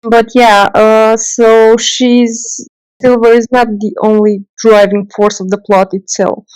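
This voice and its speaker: A woman's voice: on the high side, level tone, slow pace, darkish tone, clear, resonant tone, dry recording, rough recording. A woman guest on a podcast, chatting casually with frequent "um" and "you know". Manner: hesitant, fillers like "um" and "you know".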